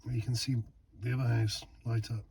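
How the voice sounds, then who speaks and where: Guy chatting outside at night, gets really excited, repeats himself, faint noise behind. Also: medium pitch, a little fast, vocal fry. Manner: excitable, exclaims and laughs, repeats words. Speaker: van owner testing lights. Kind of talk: casual vlog chat. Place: outdoors at night by a van.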